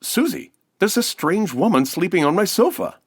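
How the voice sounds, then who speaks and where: An actor playing a dry-witted, brisk male boss in an old-time radio detective comedy. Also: sits high, very animated, slightly rough, dry recording, heavily acted. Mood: puzzled.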